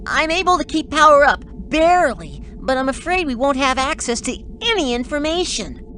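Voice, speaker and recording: A woman voicing a hesitant, worried helper robot in a cartoon computer game, halting and fretful. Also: sits high, expressive, bright, forceful tone, a little hiss or hum.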